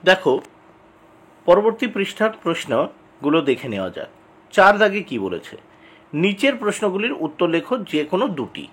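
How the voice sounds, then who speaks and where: A man giving an instructional exam lesson, reading out questions and answers deliberately, repeating key points and addressing students directly. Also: high voice, big pitch swings, a bit bright, slightly rough. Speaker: exam tutor.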